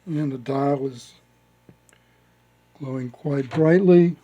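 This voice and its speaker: A man giving a casual step-by-step demonstration as a hobbyist, speaking haltingly with pauses as he works. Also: medium pitch, highs cut off. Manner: halting, pausing mid-sentence as he works. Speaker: hobbyist restoring a vintage radio.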